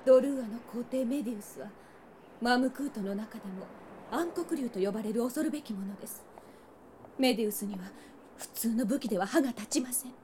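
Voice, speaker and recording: An actress playing a noble, earnest young princess burdened by duty in a fantasy audio drama, poised, polite and solemn. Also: female voice, fairly high pitch, fairly quick, warm tone, a little hiss or hum, lightly acted. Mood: grave.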